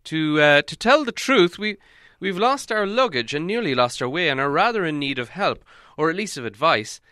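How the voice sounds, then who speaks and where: An old storybook wizard acted by a male reader speaks in a raised, clear voice. He is fussy and polite, explaining things with hesitant stammers. The sound is crisp and dry. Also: on the high side, expressive, moderate pace, bright, forceful tone, dry recording, voice pushed up. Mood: apologetic, hesitant.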